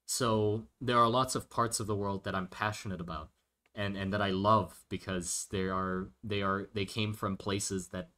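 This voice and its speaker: A man's voice: on the low side, fairly even intonation, moderate pace, bright, forceful tone. A man chatting casually on a livestream while he draws, thinking aloud and answering viewer questions with many restarts. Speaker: streamer drawing a fantasy world.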